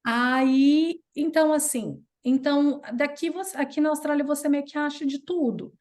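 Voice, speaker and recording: A Brazilian woman in her thirties with a Brazilian Portuguese accent, a guest chatting casually and telling stories in an animated way. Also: normal speed. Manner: chatty and animated, playful jumps in pitch when retelling. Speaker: interview guest. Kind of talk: casual storytelling chat.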